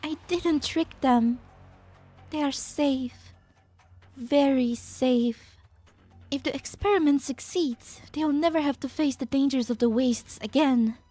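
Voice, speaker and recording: A woman playing a gentle, idealistic young scientist who speaks earnestly in a dramatic game fan dub. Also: high-pitched, takes it slowly, soft background music. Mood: pleading, earnest.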